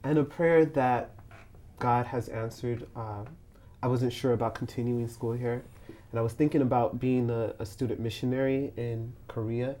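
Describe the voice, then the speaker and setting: A young man, a communications student guest, speaking quietly and plainly with a few hesitations. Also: mid-range voice, steady tone, normal speed. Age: young adult.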